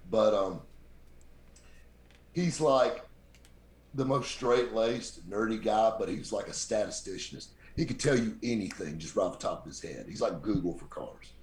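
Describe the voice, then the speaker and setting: A man, telling stories as a guest in a casual chat. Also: normal speed. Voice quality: very deep voice. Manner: animated, mimics voices in his stories. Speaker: podcast guest, radio show host. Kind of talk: casual storytelling. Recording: echoey room.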